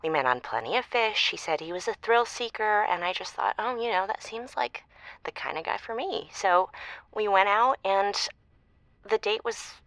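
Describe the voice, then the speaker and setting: A woman's voice: medium pitch, expressive, normal speed, a bit bright, no echo, low-quality recording. A woman playing a disgruntled ex-date calling a comedy radio show, talking in a casual, blunt, griping way. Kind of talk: comedic radio call-in acting.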